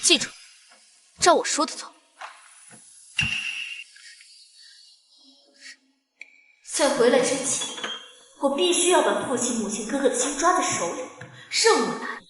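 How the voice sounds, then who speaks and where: A woman's voice: high voice, expressive, normal speed, crowd noise. An actress playing a scheming, manipulative young noblewoman in a costume drama. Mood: calculating.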